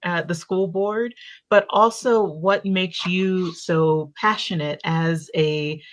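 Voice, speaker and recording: Woman, very low voice, panel facilitator, measured, careful, searching for words. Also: fairly even intonation, slight background noise. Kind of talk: moderating a community panel.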